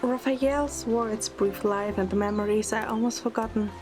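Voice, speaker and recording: Woman acting as playful young heroine, audio drama narration, slightly high voice, teasing, clear background music. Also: steady tone, normal speed, close to an everyday voice. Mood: gentle narration. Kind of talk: narrated audio drama, first-person storytelling. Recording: music under the voice.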